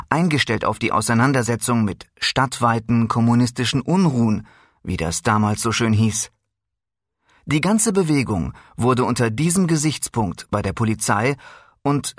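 A man's voice: mid-range voice, no room sound, clean studio sound. A man giving a scripted reading of a first-person recollection. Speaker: reader of a memoir.

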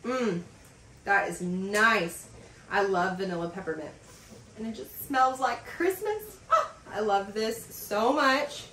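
A woman in her thirties chatting in a casual vlog as she unboxes packages in a home office, gushing and excited, with lots of fillers. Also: medium pitch, slow pace, far from the mic, slight background noise. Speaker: vlogger. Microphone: camera across a desk. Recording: roomy sound from off the mic.

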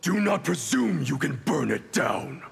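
A man's voice: fairly high pitch, very animated, a little slow, vocal fry, husky, faint music behind, exaggerated voice. A man playing a cold, proud and domineering ninja grand master in a fighting game, commanding and menacing. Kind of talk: dramatic fighting game dialogue.

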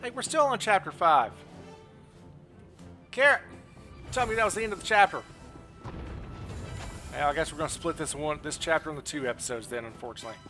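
Male streamer in his thirties, animated, jokey reactions, casual talk, game music behind. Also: fairly high pitch, brisk, long pauses. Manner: jokey, exclamatory. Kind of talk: casual reactive gameplay commentary. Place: indoor room, streaming setup. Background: game music and effects.

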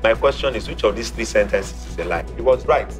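A man's voice: animated, brisk. A middle-aged man hosting a television quiz show in a studio, theatrical, drawing out key words, on a lapel mic. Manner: theatrical, swings pitch and drags out key words. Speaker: game show host. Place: indoor TV studio set. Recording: faint music behind.

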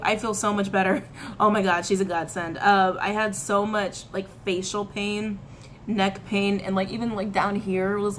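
Woman in her thirties, casual tarot reader, talks to the camera, repeats phrases, faint room hum behind. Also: medium pitch. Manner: chatty, animated hand gestures, frequent laughs and repetitions. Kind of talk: casual spiritual reading to camera. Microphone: camera in front, head and shoulders framing.

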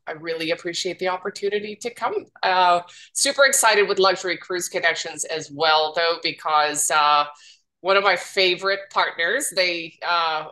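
A woman's voice: fairly low pitch, pushed and bright, low-quality recording. A woman in her forties, from Norway, speaking as a guest in a webinar through a headset on a video call, upbeat and enthusiastic. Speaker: guest, business development manager. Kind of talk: casual webinar talk.

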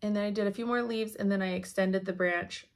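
A young woman giving a chatty cross-stitch update from her home craft room, her voice slightly hoarse, laughing often as she thinks aloud. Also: mid-range voice, fairly even intonation, fairly quick. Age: young adult. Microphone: camera in front at desk.

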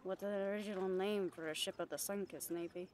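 A woman's voice: medium pitch, fairly even intonation, fairly quick, a little mellow, slight background noise, lightly acted. A woman playing a brash, cheeky catgirl pirate in an audio roleplay, bantering with salty sarcasm. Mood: wry, sarcastic. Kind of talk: roleplay audio drama acting.

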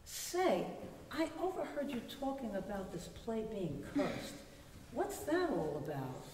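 A woman's voice: on the high side, big pitch swings, unhurried, darkish tone. A woman playing a haughty ghost of a film star on stage, grand, arch and disdainful. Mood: arch, needling. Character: haughty female ghost diva, vain old-time film star. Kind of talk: live stage play acting. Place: theater stage with audience, far camera. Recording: echoey hall, distant camera, noisy.

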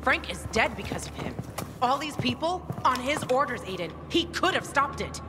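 A woman's voice: high voice, big pitch swings, normal speed, music in the background. An actress playing a tough, vengeful, defiant young female fighter in a video game. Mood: angry. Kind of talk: dramatic video game acting.

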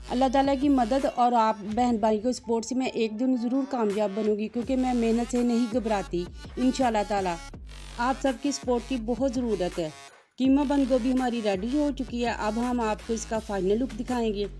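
Soft-spoken woman narrating a recipe. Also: fairly high pitch. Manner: step-by-step, polite, religious greetings. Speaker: cooking channel host. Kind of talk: voice-over cooking tutorial.

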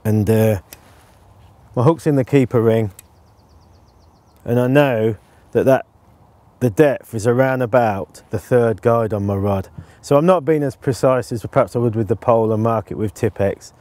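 Man in his forties, relaxed explainer, lots of ums, outdoor canal bank, lapel mic, medium pitch. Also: normal speed, no echo. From England. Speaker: angling presenter. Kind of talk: casual instructional talk to camera.

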